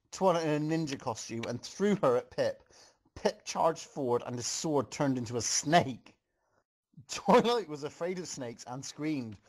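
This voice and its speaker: A man's voice: on the high side, lively intonation, a little slow, darkish tone. A man reading a story aloud to a group as host, with amused, joking asides.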